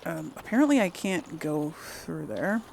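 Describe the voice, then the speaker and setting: A woman's voice: sits low, very animated, a little slow, creaky, a little mellow, a little hiss or hum. A woman hosting a let's play, chatting casually to herself and her viewers as she comments on the game.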